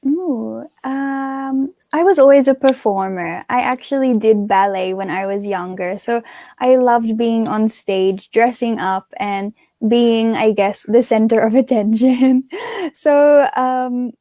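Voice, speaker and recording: A woman's voice: on the high side, slow, mellow, no echo, clean studio sound. Give me a young woman from Australia, a pageant titleholder answering interview questions in a chatty, earnest way with lots of fillers.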